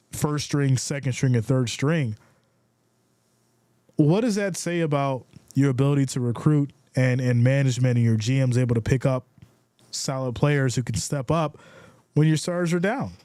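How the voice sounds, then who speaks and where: A congested man calls football plays on a live stream, switching between play-by-play and easy chat with his viewers. His voice is warm and mellow, slightly stuffed up. The sound is dry and close, with no room around it. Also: medium pitch, dry recording. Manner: casual, reads viewer comments aloud; builds excitement calling plays. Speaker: live stream sports commentator.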